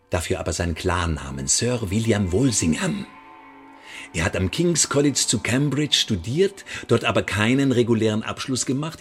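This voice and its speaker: Low voice smug secret service interrogator, polite but threatening, radio play, clear diction. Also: male voice. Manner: sardonic, mock-polite, threatening, articulate. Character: menacing, sardonic male secret-service interrogator, smugly courteous. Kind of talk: radio drama acting.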